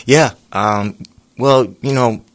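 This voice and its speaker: A singer being interviewed, talking in a relaxed, rambling way with lots of pauses and fillers. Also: male voice, medium pitch. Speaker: singer guest. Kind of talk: casual interview chat.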